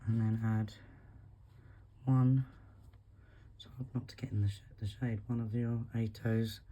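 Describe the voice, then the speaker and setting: A man with a husky voice from a recent flu, calmly talking through a beading tutorial step by step while he works. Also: medium pitch, measured pace. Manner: calm, counts beads aloud, talks while working. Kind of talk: step-by-step craft instruction.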